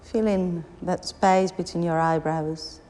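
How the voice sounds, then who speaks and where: Slow-paced woman guiding meditation, long reverb. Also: fairly low pitch, fairly even intonation, mellow. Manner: soothing, unhurried instructions with long pauses. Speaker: yoga nidra meditation guide. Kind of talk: guided meditation. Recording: long reverberant hall, background noise.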